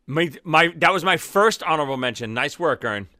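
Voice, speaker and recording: A chatty man on a podcast, talking with a bright, forceful tone and a fairly high voice. He laughs easily and talks in a loose, friendly way. The sound is dry and close. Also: expressive, brisk, no room sound. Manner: laughing, chatty, a bit nervous. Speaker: podcast co-host. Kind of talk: casual chat.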